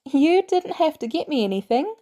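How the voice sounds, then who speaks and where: Woman voicing an excitable, high-pitched teenage boy. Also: normal speed. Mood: surprised. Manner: eager, wide-eyed, slightly shy. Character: excitable, eager teenage boy, bright kid.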